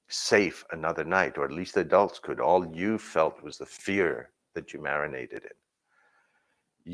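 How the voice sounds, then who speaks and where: A man from Burma telling his personal story in a reflective way, pausing and restarting as he talks. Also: sits low, a bit bright. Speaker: speaker sharing his story.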